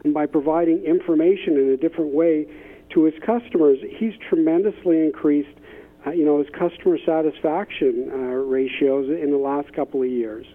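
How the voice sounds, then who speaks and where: A man's voice: a little high, moderate pace. Man on a thin phone line. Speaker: expert guest, business innovation consultant. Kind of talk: interview conversation with anecdotes.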